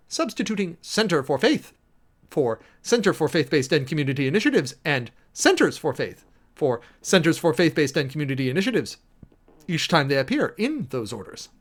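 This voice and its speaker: Male reader, slightly high-pitched and highly animated, theatrical and emphatic delivery of formal text. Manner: theatrical, emphatic, spells out punctuation and section headings. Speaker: streamer and host reading a document aloud. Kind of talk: dramatic reading of a legal document.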